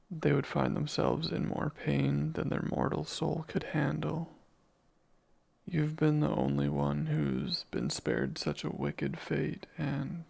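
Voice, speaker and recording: Casting: male actor as jealous, menacing, devoted demon for romantic ASMR roleplay; heavy vocal fry, slow formal delivery. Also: on the high side, a little mellow, heavily acted. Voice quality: gravelly. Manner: slow, formal, deliberate phrasing.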